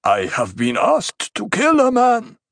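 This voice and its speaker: A narrator performs a desert tribesman calling out in an audiobook. He shouts insistently in a strained, raised pitch, with no room sound. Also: huge pitch swings, slow, vocal fry, no room sound, studio quality, heavily acted. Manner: shouting from afar. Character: desert tribesman supplicant asking about killing, shouting.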